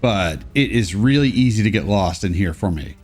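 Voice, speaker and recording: A man's voice: fairly low pitch, animated, normal speed, a little mellow, soft background music. A congested young man giving casual, sarcastic gameplay commentary as a let's play host.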